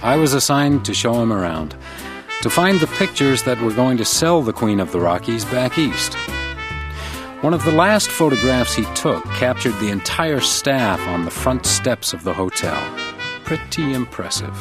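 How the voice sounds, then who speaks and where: A man's voice: medium pitch, sing-song, normal speed, over music, close to an everyday voice. An actor playing a wry, observant male hotel detective in a radio drama, narrating in understated first person. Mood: reflective, wry.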